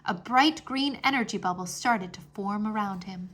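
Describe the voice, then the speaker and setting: A woman reading a children's fantasy chapter book aloud as an expressive storyteller. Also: medium pitch, moderate pace, a little hiss or hum. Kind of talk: expressive scripted narration.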